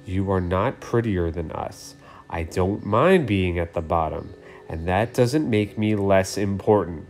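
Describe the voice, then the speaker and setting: A man's voice: low-pitched, expressive, measured pace, a little mellow, faint music behind, pitched below the performer's own voice. A man voicing an argumentative, indignant female green traffic light in a children's storybook, firmly retorting. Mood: angry. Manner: firm, retorting.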